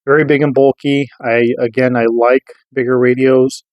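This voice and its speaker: A man's voice: medium pitch, level tone, moderate pace, darkish tone, dry recording, highs cut off. A middle-aged American man giving a casual product review, explaining things in an informal, rambling way. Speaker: radio reviewer.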